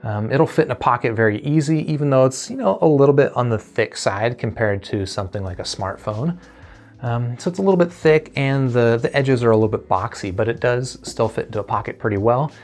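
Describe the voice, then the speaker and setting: A man's voice: medium pitch, lively intonation, fairly quick, darkish tone. A man giving a casual product review as host, conversational and thinking aloud as he goes. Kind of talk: casual unboxing and review talk.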